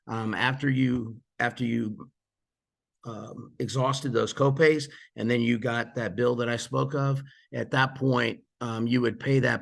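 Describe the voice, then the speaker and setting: A man with a gravelly, mid-pitched voice talks through plan details in an easygoing, conversational way. He sounds a little hoarse, as if he is losing his voice. He often pauses on fillers and starts phrases over. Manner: frequent fillers and restarts, conversational. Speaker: presenter explaining insurance plans. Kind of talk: casual explanatory walkthrough.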